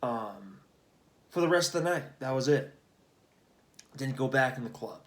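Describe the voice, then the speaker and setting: A young man in his twenties speaks softly at home after dark, keeping his voice down so the house stays asleep. His talk is casual and slangy, with swearing sprinkled through it. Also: animated, measured pace. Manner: kept low and hushed, as others in the house sleep. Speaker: vlogger. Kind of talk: casual storytelling vlog. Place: a house at night.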